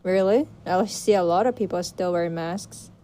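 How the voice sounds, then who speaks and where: Mellow woman co-host. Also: on the low side, normal speed. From Japan. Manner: chatty, playfully contradicting. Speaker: podcast co-host. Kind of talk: casual podcast chat.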